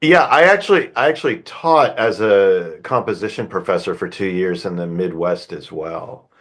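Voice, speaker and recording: A middle-aged man with a somewhat low voice talks easily as a guest on an interview show. He is a composer and speaks casually, with fillers and restarts as he thinks. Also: moderate pace. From United States. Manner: relaxed, chatty, with fillers and restarts.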